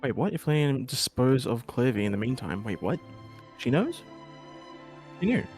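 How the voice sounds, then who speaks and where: Young dude on a game call, mid voice, blunt and sweary, some music behind. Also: male voice, mid-range voice, big pitch swings, normal speed, a little mellow. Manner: blunt, profane, slangy. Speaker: streamer's gaming friend. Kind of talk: casual gaming chat.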